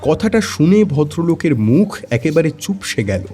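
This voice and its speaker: A man narrating an audio story in the first person, in a dramatic, expressive storytelling style. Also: a little high, moderate pace, faint music behind.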